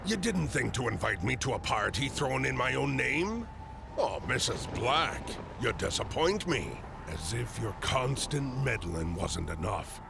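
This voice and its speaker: Gravelly, menacing undead pirate captain. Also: male voice, mid-range voice, sing-song, unhurried, noisy background, exaggerated voice. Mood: mocking menace. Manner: theatrical, mockingly polite, commanding. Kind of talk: video game villain acting. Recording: loud music behind the voice.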